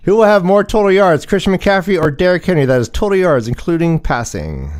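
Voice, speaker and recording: A man with a fairly high, very animated voice hosts a casual sports livestream. He banters and announces with playful, theatrical emphasis, his pitch swinging widely. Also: fairly quick. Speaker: livestream show host.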